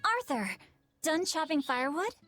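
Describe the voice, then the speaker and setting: A woman playing a brisk, caring, confident teenage big sister in an anime-style fantasy game. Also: high voice, animated, fairly bright tone, soft background music.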